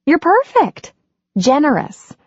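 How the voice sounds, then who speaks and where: A woman voicing a warm, anxious middle-aged woman in an audiobook, talking brightly and quickly. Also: huge pitch swings, no echo, heavily acted. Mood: eager. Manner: bright and eager, higher than her narration. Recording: dry, dull lo-fi audiobook recording.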